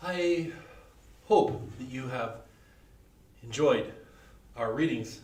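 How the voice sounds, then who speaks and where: A man speaking haltingly as a presenter at a reading event. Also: mid-range voice, a little mellow. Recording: echoey room, distant microphone.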